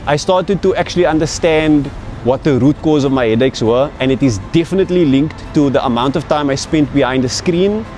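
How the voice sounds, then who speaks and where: A man's voice: fairly high pitch, a little fast, darkish tone, slight background noise. A content creator giving a fluent, confident testimonial about his work and routine. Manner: fluent, confident self-presentation. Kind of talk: testimonial interview.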